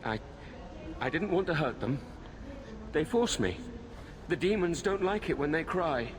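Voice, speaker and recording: An actor playing a tormented, self-pitying murderer in a video game, pleading and full of guilt. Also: male voice, a little high, lively intonation, unhurried, a crowd in the background. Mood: anguished. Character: tormented, guilt-ridden murderer, magistrate's son. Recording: noisy game ambience behind voice.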